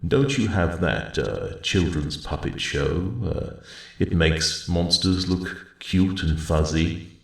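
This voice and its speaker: Ancient, rumbling, gravelly dragon. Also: male voice, lively intonation, reverberant, heavily acted. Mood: amused, curious. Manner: measured, formal, gentle, thoughtful phrasing. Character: ancient, kindly, wise old male dragon, weary and hopeful. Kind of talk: ASMR roleplay audio drama, spoken to the listener.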